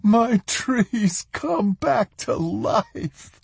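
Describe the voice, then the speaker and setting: A rustic old man for a storybook, folksy and full of wonder. He draws his words out slowly in a husky voice, emotional and excitable. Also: sits high, no room sound, voice pushed up, heavily acted. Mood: overjoyed. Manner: folksy, exclamatory, drawn-out.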